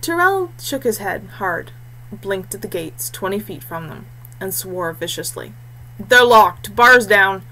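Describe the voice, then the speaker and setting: A woman's voice: mid-range voice, very animated, a little slow, sharp tone, poor audio. A woman reading a scripted fantasy story aloud as its narrator.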